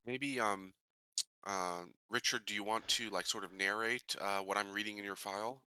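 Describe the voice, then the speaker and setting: A man's voice: fairly low pitch, steady tone, normal speed, sharp tone, clean tone. A man running a tabletop roleplaying game, asking casual, probing questions and rephrasing them as he goes.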